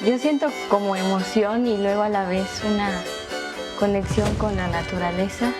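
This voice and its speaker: A woman's voice: medium pitch, fairly even intonation, moderate pace. A woman musician speaking earnestly and reflectively in a documentary interview. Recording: music bed under the voice.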